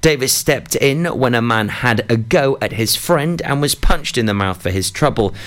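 A man's voice: mid-range voice, lively intonation, moderate pace, a bit bright. A man reading a scripted local news bulletin on the radio. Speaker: news reader.

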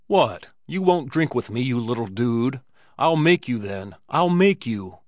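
A man voicing a burly, boastful, drunken saloon bully in an audiobook, loud and insistent. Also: mid-range voice, animated, measured pace, dry recording, studio quality, lightly acted. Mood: belligerent. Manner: loud, insistent, blustering exclamations. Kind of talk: dramatized audiobook character.

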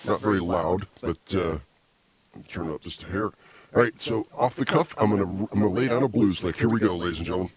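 A middle-aged man hosting a casual comedy show, rambling and teasing with deadpan insults, on a small desk mic in a home music studio. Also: deep voice, fairly quick, very noisy. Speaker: podcast host. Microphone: small desk mic stand.

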